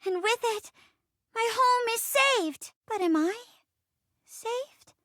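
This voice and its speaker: Tiny earnest wood sprite, super high n swoopy, very theatrical fantasy game voice. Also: female voice, expressive, slow, a bit bright, no room sound, clean studio sound, heavily acted. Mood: earnest, heroic. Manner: grand, formal, breathless theatrical delivery. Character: small earnest female wood sprite, noble and melodramatic. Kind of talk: stagey fantasy play acting in a video game.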